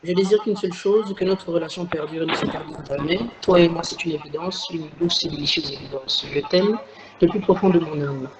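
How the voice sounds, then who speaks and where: High-voiced man, measured, crowd nearby. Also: fairly even intonation, low-quality recording. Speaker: public speaking student. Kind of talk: class discussion and reading aloud.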